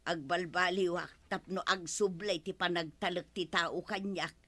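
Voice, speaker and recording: A woman's voice: on the low side, steady tone, unhurried, sharp tone. A woman with an Ilocano accent playing a nagging village wife in a radio comedy drama, scolding and questioning. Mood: aggrieved.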